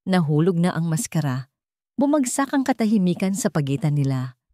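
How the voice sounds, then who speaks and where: A woman's voice: fairly low pitch, very animated, moderate pace, dark tone, no room sound, clean studio sound. A woman narrating a scripted dramatic story as a storyteller.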